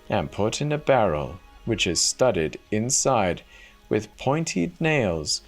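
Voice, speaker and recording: A man voicing a cruel, haughty false bride with a vicious, emphatic manner in a storybook reading. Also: lowish voice.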